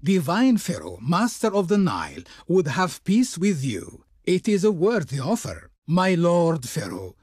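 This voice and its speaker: An actor playing a haughty, pompous envoy of an ancient Egyptian Pharaoh in a strategy video game, declaiming in ornate, theatrical phrases. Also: male voice, sits high, darkish tone, husky, no room sound, clean studio sound, heavily acted. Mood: grandly persuasive. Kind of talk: theatrical historical strategy game diplomacy lines.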